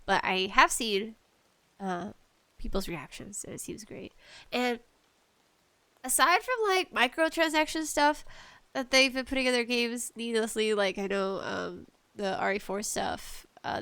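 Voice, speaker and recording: A woman hosting a casual gaming podcast, talking solo in a slangy, conversational way with animated asides. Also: mid-range voice, clear, resonant tone, dry recording. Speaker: podcast host.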